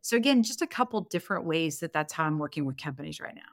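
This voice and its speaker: A woman's voice: low-pitched, expressive, fast, dry recording, studio quality. A woman of about fifty giving candid, motivational answers as a guest in a conversational interview. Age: 49, turning fifty next month. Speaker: podcast guest, business consultant and strategic planner.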